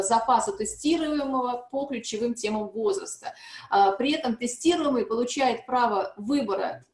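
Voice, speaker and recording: A woman speaks at a seminar in a fairly high voice, explaining carefully and often pausing on hesitant fillers. The room around her is echoey, like a conference hall. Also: a little mellow. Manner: frequent hesitations and restarts, explanatory. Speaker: seminar speaker on language testing. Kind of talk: academic presentation.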